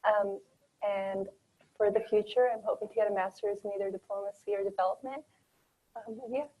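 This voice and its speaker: Young woman, from Afghanistan, student panelist, measured, flat intonation. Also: medium pitch, rough recording. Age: young adult.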